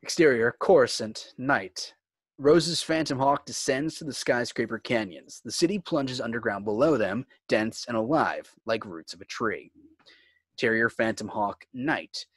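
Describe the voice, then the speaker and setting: A man's voice: mid-range voice, unhurried, husky, no echo. A man reading scripted screenplay narration, describing scenes and action. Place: indoors on a home video call.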